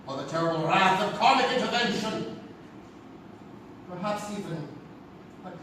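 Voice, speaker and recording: Man playing a high-voiced keeper of dark secrets. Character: theatrical older French keeper of secret city records. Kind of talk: live radio drama storytelling. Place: live theatre stage. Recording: echoey theatre, far mic, noisy.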